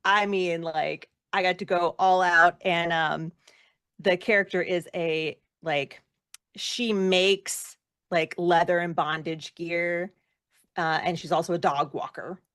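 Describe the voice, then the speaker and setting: A woman's voice: lowish voice, fairly even intonation, slow pace, fairly bright tone, clear, resonant tone, no echo, clean studio sound. A woman chatting casually as an interview guest, effusive and informal in the way she talks. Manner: effusive, informal, emphatic about loving the work. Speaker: guest, actor and former rock singer.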